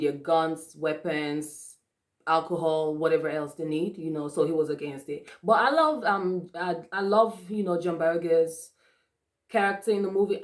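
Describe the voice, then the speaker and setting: A young woman from Africa giving a casual, chatty movie review with lots of fillers and restarts. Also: low voice. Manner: chatty, many fillers and restarts, frequent laughs. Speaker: vlogger.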